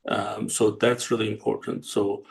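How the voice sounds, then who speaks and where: A man from Turkey, hosting an interview in a casual, halting way with many fillers and restarts. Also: fairly low pitch, flat delivery, gravelly, a little hiss or hum. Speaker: host and company CEO.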